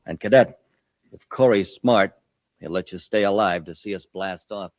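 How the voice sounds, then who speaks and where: A man's voice: low voice, moderate pace, no room sound, natural voice. A man playing a ruthless henchman in an old-time radio space adventure, barking orders and threats. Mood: menacing. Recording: muffled vintage radio recording.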